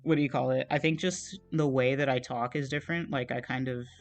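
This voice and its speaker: A young man talks while he draws at his desk, his voice sitting at an ordinary middle pitch. He meanders from thought to thought in a relaxed, self-deprecating way, full of fillers and half-finished sentences. Faint music plays quietly behind him. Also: a little fast. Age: young adult. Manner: rambling and meandering, many fillers and trailing-off thoughts. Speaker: art streamer. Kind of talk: casual chat while drawing on a livestream.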